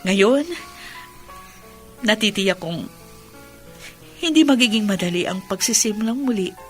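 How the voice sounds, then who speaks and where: A woman's voice: mid-range voice, animated, measured pace, soft background music, lightly acted. An actress playing a tender, emotionally wounded young woman in a sentimental radio drama, speaking earnestly and with feeling. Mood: earnest, grateful. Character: tender, wounded young bride Julie, healing from heartbreak.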